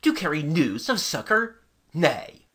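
A haughty, noble knight of old, performed by a woman storyteller. The voice sits very deep and husky, delivering stately, pompous archaic lines with grand rises and falls. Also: sing-song, measured pace, a bit bright, heavily acted. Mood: stern. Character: haughty, gallant medieval knight captain, male, gravely noble. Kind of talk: storybook character dialogue.

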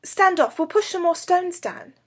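Woman as an eager, high-voiced young boy. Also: expressive, moderate pace. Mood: excited.

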